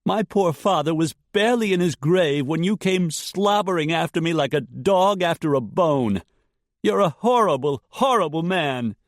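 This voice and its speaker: A man voicing a distraught young woman who cries out defiantly, in a higher, raised voice. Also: lively intonation, a little slow, darkish tone, no room sound, studio quality. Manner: shrill, cries out at a distance.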